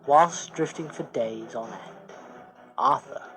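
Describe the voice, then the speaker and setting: A man's voice: medium pitch, slow pace, a bit bright, low-quality recording. A man reading a scripted story as a dramatic narrator. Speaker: story reader. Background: music.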